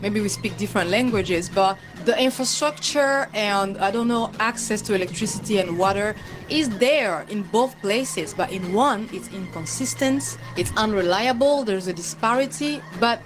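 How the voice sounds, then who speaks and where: A woman's voice: medium pitch, normal speed, low-quality recording. A woman from Congo giving conversational personal commentary in a candid, humorous and emphatic way. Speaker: online commentator. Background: music.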